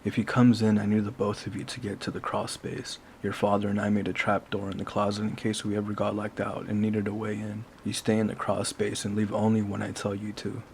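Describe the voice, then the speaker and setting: A man's voice: fairly low pitch, completely flat delivery, brisk, vocal fry, few pauses, darkish tone, slight background noise. A man playing an urgent protective mother.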